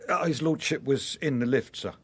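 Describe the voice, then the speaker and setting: A man's voice: mid-range voice, moderate pace, slightly rough, natural voice. Hesitant, deferential English chauffeur played by a man. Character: deferential, nervous household chauffeur. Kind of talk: British radio drama acting.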